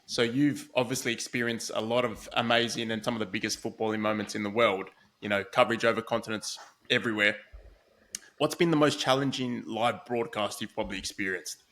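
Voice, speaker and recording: A man hosting a podcast, asking questions in a relaxed, conversational way. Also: fairly low pitch, a little fast. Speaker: podcast host. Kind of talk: podcast interview.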